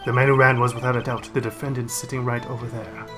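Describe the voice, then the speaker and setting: A man's voice: mid-range voice, little pitch movement, music in the background, lightly acted. Man doing a shady, serious courtroom witness. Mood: grave. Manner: deliberate, shady. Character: shady, serious male newspaper seller testifying as witness.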